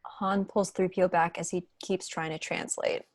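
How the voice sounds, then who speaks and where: A woman with a fairly low voice narrates a script at a table read. She reads out stage directions over a video call, with a dry, close sound. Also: fairly even intonation, moderate pace, dry recording. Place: indoor room on a video call.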